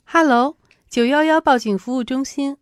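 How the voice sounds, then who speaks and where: A woman's voice: sits high, normal speed, natural voice. A woman acting a polite, matter-of-fact emergency call operator in a radio drama. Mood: polite, businesslike. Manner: polite, procedural.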